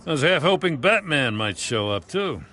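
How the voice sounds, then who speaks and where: Earnest police chief, expressive delivery. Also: male voice, fairly high pitch, moderate pace, slight background noise. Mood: friendly, frank. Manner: earnest, plainspoken. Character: middle-aged police commissioner, plainspoken, hopeful, weary. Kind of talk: video game voice acting.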